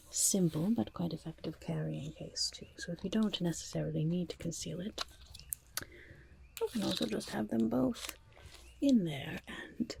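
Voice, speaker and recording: A woman voicing a sly, chatty goblin market peddler in a whispered ASMR roleplay, with wheedling salesmanship. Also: on the low side. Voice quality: breathy, husky whisper with creaky edges. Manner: wheedling salesmanship, speaks of self in third person.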